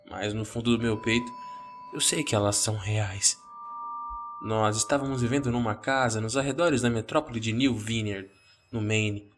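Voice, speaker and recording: A Brazilian man hosts and narrates a horror podcast. His voice sits at an average male pitch, with music playing faintly behind it. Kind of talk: first-person horror story narration.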